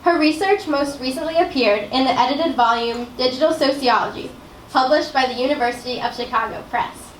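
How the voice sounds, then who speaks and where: Young woman, emcee, reading an introduction, measured, echoey hall, faint hiss. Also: sits high, recorded from a distance. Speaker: event emcee.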